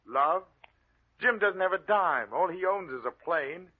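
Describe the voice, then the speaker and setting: An actor playing a smug, scheming adopted brother who taunts and insinuates, in 1940s radio drama style. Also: male voice, sits high, lively intonation, unhurried. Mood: mocking. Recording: muffled narrow-band old radio recording.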